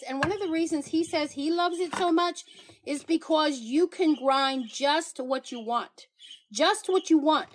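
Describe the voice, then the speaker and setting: A woman's voice: high voice, slight background noise. A woman hosting a casual livestream, sassy and emphatic, pleading with and teasing her viewers while playfully switching accents. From Paraguay. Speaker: livestream host promoting products.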